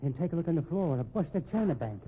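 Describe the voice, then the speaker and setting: Kindly Irish cop, muffled radio sound. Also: male voice, on the high side, sing-song, a little hiss or hum. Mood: firm, calm. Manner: folksy, soothing, measured. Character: kindly, chivalrous Irish policeman, unhurried and fatherly. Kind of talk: old-time radio crime drama acting. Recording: muffled narrow-band vintage radio recording.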